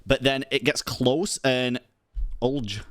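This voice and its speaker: A man's voice: medium pitch, steady tone, slow, fairly bright tone. A man giving casual, reactive, sweary live commentary as he plays a video game.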